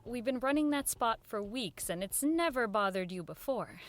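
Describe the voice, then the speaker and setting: A woman's voice: a little high, lively intonation, normal speed, lightly acted. A woman playing a haunted but level-headed radio station worker in an audio drama. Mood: concerned, insistent. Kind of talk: radio drama acting and first-person narration.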